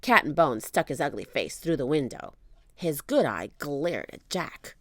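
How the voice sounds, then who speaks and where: Expressive, unhurried woman storyteller. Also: low-pitched, no echo, clean studio sound. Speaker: children's book reader. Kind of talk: expressive read-aloud storytelling.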